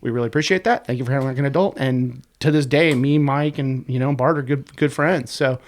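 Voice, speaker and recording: A man in his forties with a congested, sniffly voice, telling stories in a casual, profane podcast chat as a guest. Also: mid-range voice. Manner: fast, profane, animated storyteller. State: congested, sniffling from a sinus infection. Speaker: guest, website co-creator.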